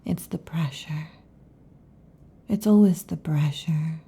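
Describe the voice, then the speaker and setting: A woman voicing a restless, affectionate female lamia in an ASMR roleplay, whispering softly. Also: deep voice, takes it slowly, husky, heavily acted. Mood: whispery, intimate. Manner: soft intimate whispering. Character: restless affectionate female lamia, snake-tailed monster girl.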